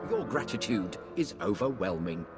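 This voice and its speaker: A man's voice: on the low side, expressive, normal speed, lightly acted. A man playing a haughty, sardonic warrior in a video game, speaking archly and craving slaughter. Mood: sardonic, disdainful. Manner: arch, sardonic. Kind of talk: video game character acting. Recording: long cavernous reverb, music underneath.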